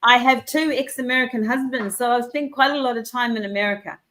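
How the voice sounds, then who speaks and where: A confident woman, talking on a video call. Her voice sits a little high, and she speaks in long, energetic runs with quick restarts, sure of her expertise. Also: a little hiss or hum. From New Zealand. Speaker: wellness and business coach. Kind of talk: conversational interview.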